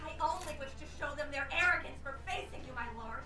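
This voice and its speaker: Actress doing a frantic devoted female minion, very high voice, shrieking, anime parody, echoey. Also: quick pace, recorded from a distance, lightly acted. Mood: pleading. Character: fanatically devoted, volatile female servant of dark lord. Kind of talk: comedic anime parody dub. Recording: echoey, off-mic shouting.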